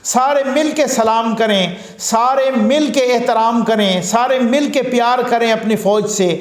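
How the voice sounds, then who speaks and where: Male commentator from Pakistan, high-pitched, rhetorical monologue, echoey room sound. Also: unhurried. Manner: earnest, rhetorical, repeats phrases in lists. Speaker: news commentator.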